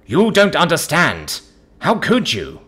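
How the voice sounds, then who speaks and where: A man's voice: on the high side, huge pitch swings, takes it slowly, fairly bright tone, husky, pitched above the performer's own voice, full character voice. Man acting a bitter, snarling angry man. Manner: snarling, sarcastic.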